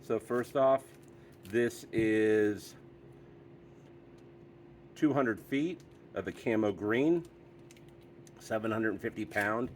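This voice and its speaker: Unhurried low-voiced man reviewing gear. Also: slight background noise. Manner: easygoing, chatty, with frequent fillers. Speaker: product review host. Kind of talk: casual product review.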